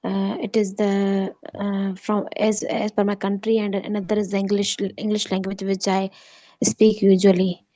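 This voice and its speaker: Flat-toned Sindhi-speaking woman student. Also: medium pitch, normal speed, dry recording. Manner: polite, often says "ma'am", fillers and restarts. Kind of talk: online class speaking practice.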